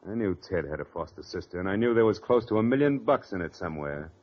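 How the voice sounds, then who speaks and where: An actor playing a hard-boiled, taunting government investigator in a 1940s radio drama, clipped and tough. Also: male voice, sits low, animated, fast talker. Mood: coolly triumphant. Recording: dull vintage radio recording.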